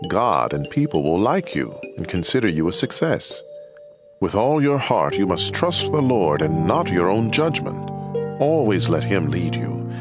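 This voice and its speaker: A man's voice: deep voice, full character voice. A man playing a fatherly sage, giving solemn instruction in a dramatized scripture reading. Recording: loud music behind the voice.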